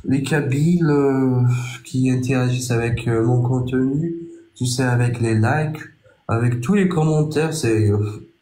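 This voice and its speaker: A man's voice: mid-range voice, a little slow, warm tone, echoey room, slight background noise. A man hosting a casual live-stream chat, blunt and self-assured. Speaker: TikTok live host.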